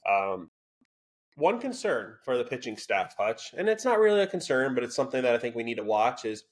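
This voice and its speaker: A man co-hosting a casual college sports podcast, dry and sarcastic, with a self-described monotone delivery. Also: mid-range voice, fairly quick. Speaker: podcast co-host.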